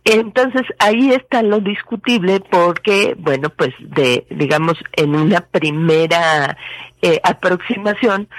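A woman with a Mexican accent, speaking as a legal expert guest and explaining things methodically. She pauses often and fills the gaps with short fillers. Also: fairly low pitch, no echo, low-quality recording. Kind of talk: explanatory commentary.

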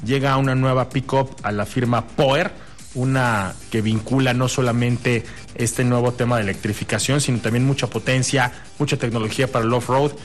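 A man's voice: medium pitch, fairly even intonation, moderate pace. A man with a Mexican accent hosting a radio show in a courteous, chatty, conversational way. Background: music bed.

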